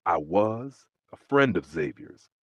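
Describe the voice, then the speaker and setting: A man reading the part of a gentle, determined, troubled young woman in an audiobook, softly and earnestly. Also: deep voice, very animated, slow pace, no room sound, highs cut off, full character voice. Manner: soft, earnest.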